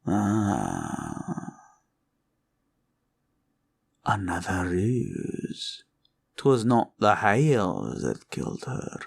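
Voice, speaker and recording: A man playing a scheming, condescending male green dragon villain in a roleplay ASMR audio, with a gravelly, husky voice and a slow, probing drawl. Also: lowish voice, huge pitch swings, full character voice. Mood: stern, condescending.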